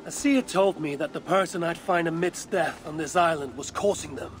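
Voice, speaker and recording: A woman's voice: sits low, moderate pace, a little hiss or hum, lightly acted. An actress playing a blunt, suspicious and proud Viking warrior woman in a video game. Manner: blunt, curt, challenging. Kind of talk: video game cutscene acting. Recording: game audio with faint music. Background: game soundtrack music.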